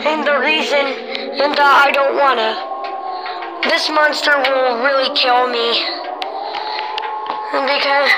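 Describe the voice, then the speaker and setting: Woman playing high-voiced, slow, frightened reporter. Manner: halting, repetitive. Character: frightened, pleading on-scene news correspondent. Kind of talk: acted live report. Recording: very noisy audio.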